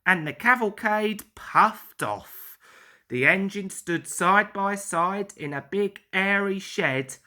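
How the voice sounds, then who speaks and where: A woman's voice: mid-range voice, expressive, takes it slowly, bright, forceful tone. A woman reading a children's story aloud as narrator.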